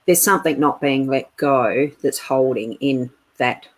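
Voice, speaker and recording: Co-host, woman, middle-aged, deep-pitched, halting casual talk with restarts. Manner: meandering, halting, laughs often. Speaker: co-host of a live spiritual chat stream. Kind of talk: casual live-stream chat.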